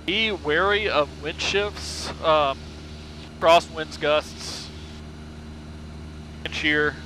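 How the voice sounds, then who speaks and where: A man speaks in brief cockpit instructions and radio calls. His voice is fairly high and very bright and cutting, on an aviation headset with faint engine noise. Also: extremely slow, poor audio. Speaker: pilot giving instructions. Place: small propeller plane cockpit in flight. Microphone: aviation headset mic.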